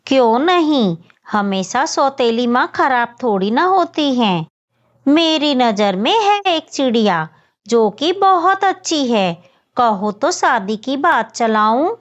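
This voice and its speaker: A woman's voice: high-pitched, big pitch swings, slow pace, lo-fi sound, exaggerated voice. A woman voicing a practical, persuasive neighbour bird woman in a children's cartoon story, with a coaxing manner.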